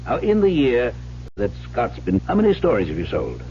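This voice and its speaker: Condescending psychiatrist guy, old radio drama, pushy questions, animated pitch, muffled sound. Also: moderate pace. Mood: needling. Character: probing, patronizing male psychiatrist, coolly insistent. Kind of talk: vintage radio drama acting. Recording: muffled old radio broadcast with hiss and hum.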